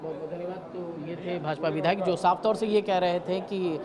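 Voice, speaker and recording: A man working as a field reporter, interviewing on location with a halting, hesitant delivery. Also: sits high, level tone. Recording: loud crowd chatter behind.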